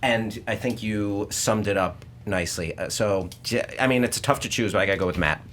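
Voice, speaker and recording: A man hosting and judging an unscripted debate show, speaking in a halting, casual way with informal slang. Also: lowish voice, a bit bright, a little hiss or hum. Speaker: host and judge of a debate show.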